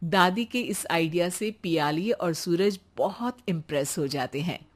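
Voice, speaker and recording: A woman narrating a bedtime cartoon story in a scripted, expressive way. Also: on the low side, a little slow.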